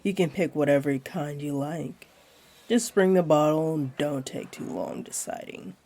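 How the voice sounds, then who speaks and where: A woman playing a flirty, teasing, laid-back jaguar beastling in a roleplay audio, with a deep, breathy, husky voice and a playful, soothing delivery. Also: measured pace, mellow. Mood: relaxed, flirty. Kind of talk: roleplay audio acting, addressed to one listener.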